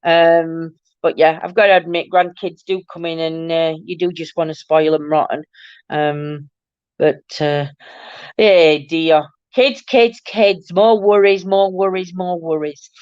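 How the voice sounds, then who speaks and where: Middle-aged woman, livestream host, croaky nasal voice, lowish pitch, dry and slightly dull sound. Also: steady tone, measured pace, darkish tone, no room sound. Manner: rambling, affectionate, calls viewers "my lovely". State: croaky, nasal from hay fever. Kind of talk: casual live-stream chat with viewers.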